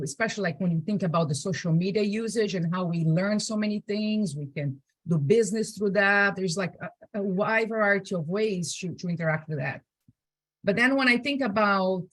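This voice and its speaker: A woman's voice: medium pitch, no room sound. A woman with a Brazilian accent, a native Portuguese speaker, giving an informal online presentation in a conversational way, often adding asides and rhetorical questions. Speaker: presenter, digital accessibility specialist. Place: indoors, on a video call. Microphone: video call.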